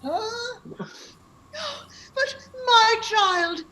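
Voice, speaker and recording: A woman's voice: very high voice, extremely slow, bright, forceful tone, exaggerated voice, low-quality recording. A woman playing a genteel, easily astonished mother in a melodramatic, comedic radio play.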